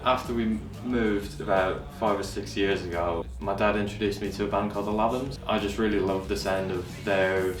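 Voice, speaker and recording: A twenty-two-year-old man, recounting things relaxed and hesitantly in an interview. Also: on the low side, normal speed, hardly any pauses. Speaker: band's bass player. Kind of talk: documentary interview. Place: band practice room. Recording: echoey room, far from mic, music behind.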